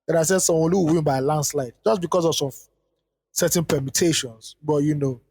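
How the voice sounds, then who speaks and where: A man's voice: a little high, brisk, darkish tone, breathy edge, big-room sound. A man co-hosting a podcast in a casual chat, reading a long listener letter aloud. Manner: easygoing, trails off with fillers. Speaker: podcast co-host.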